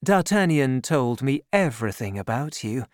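A woman reading aloud and voicing a charming, calculating woman who speaks in a honeyed, measured way. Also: extremely low pitch, sing-song, a little mellow, no room sound, studio quality. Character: scheming charming woman, sweet-voiced and calculating.

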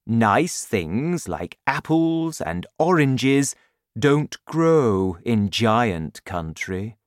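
A man voicing a kind-hearted storybook giant with a slow, emphatic, complaining manner. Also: fairly low pitch, dry recording, clean studio sound, full character voice. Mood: earnest. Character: kind-hearted giant who hates his vegetable food.

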